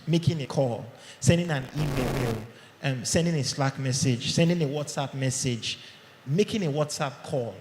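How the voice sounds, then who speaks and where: A man's voice: fairly high pitch, a little mellow. Man, live tech presentation, chatty delivery, echoey room, faint background hum. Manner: conversational, checks in with "okay?" often. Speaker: tech conference speaker. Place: large hall. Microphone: handheld or podium mic. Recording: echoey hall with long reverb.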